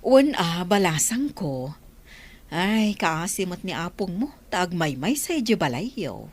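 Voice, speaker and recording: A woman's voice: lowish voice, big pitch swings, takes it slowly, full character voice. A woman playing a kindly older village aunt who carries messages in a dramatised story.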